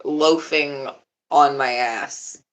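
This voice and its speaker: A young woman chatting on a live stream, laid-back and giggly, with a deeper voice who swears freely. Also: fairly even intonation, drawn-out words. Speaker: livestreamer. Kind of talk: casual live-stream chat.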